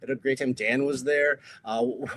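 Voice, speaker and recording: Casting: man with a loud, projecting voice for a relaxed livestream guest, loose and rambling delivery. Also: mid-range voice, normal speed. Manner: loose storytelling with frequent uh fillers. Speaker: guest, atheist group president. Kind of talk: casual livestream chat.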